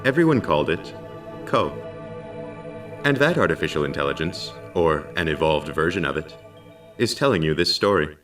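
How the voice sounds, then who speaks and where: A man playing a calm, dutiful artificial intelligence who narrates a science fiction audio drama in a measured, formal and precise way. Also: very deep voice. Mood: measured storytelling. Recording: slightly distant, music underneath. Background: music.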